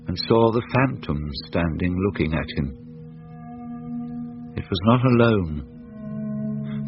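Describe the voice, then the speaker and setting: A man narrating a scripted story in a dramatic, classic storytelling style. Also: lowish voice. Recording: dull lo-fi radio sound, faint music.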